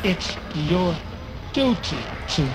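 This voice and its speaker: Commanding ghostly voice, unhurried, solemn, under loud music. Horror audio drama. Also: female voice, lowish voice, expressive, poor audio. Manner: slow, solemn, insistent. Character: ominous, commanding spirit voice demanding bloody duty. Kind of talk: audio fiction drama acting.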